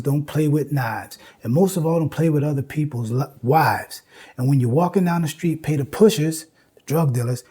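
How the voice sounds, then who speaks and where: A man's voice: fairly high pitch, mellow, slightly rough. A man giving an informal lecture with recited lyrics, speaking emphatically and repeating phrases for emphasis. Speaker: host and lecturer on music history.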